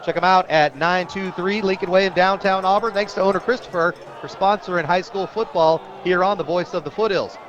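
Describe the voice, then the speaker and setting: An American man calling live high school football as a radio play-by-play announcer, getting excitable on big plays and rooting for the home team. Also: a little fast, few pauses, lo-fi sound, a crowd in the background, noisy background.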